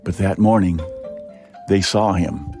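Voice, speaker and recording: A man voicing a protective mother deer who speaks gravely to her young in a story reading. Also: deep voice, slow, darkish tone, faint music behind. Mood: urgent, tender.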